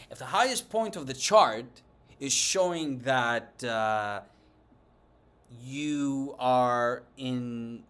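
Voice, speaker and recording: A man whose first language is Greek talks through market charts in English with a Greek accent, using a voice of ordinary pitch for a man. He lectures emphatically and keeps checking in with his viewers, turning to open taunts when he pushes a point. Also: a bit bright. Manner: emphatic and lecturing, with many tag questions and taunts. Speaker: finance channel host. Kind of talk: opinionated market commentary to camera.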